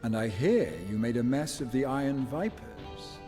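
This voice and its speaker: An actor playing a shrewd rival bounty hunter in a game cutscene, talking in a knowing, persuasive way. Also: male voice, mid-range voice, expressive, normal speed, over music, natural voice. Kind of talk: video game cutscene acting.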